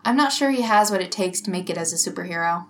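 A woman's voice: on the low side, lively intonation, fast. A woman playing a sensible, skeptical female police detective with a dry, matter-of-fact delivery.

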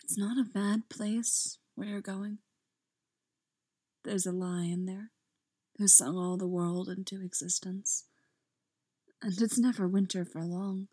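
A woman voicing a grave, tender female intelligence agent in a story, speaking gently and deliberately. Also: medium pitch, steady tone, breathy edge, no echo, clean studio sound. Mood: tender, soothing.

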